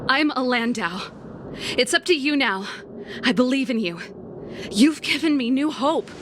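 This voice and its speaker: A devoted, resolute young big sister in a fantasy game. Her voice sits high and she speaks with dramatic weight. There is clear noise behind her. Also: animated, a little slow. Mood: resolute, earnest. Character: devoted older sister, warm steely young woman fighter. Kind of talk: dramatic video game voice acting.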